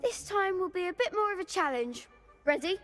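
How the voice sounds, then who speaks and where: Cheery young school kid, boy, high voice, friendly game character, long reverb. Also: expressive, fairly quick, a bit bright, soft background music. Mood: eager, admiring. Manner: eager, friendly. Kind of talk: video game voice acting. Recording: reverberant hall.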